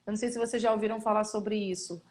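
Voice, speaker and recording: A Brazilian missionary woman telling stories from her work with children, earnest and animated, acting out quotes as she goes. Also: medium pitch, very fast. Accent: Brazilian Portuguese. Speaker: missionary guest. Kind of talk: casual testimony in a live stream.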